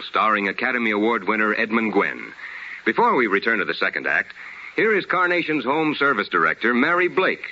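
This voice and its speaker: A man's voice: medium pitch, pushed and bright. A man announcing on 1950s radio with folksy, polished salesmanship. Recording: muffled, hissy old radio recording.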